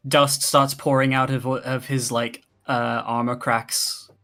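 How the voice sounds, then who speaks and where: A man's voice: mid-range voice, level tone, takes it slowly, a bit bright. A man running a tabletop fantasy game as game master, talking casually and narrating to the players.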